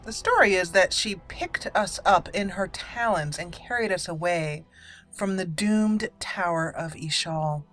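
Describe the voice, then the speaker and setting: A woman's voice: fairly low pitch, a little slow, a bit bright, soft background music. A woman hosting a roleplay let's play, chatty and playful, commenting and reading dialogue aloud. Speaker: gaming channel host.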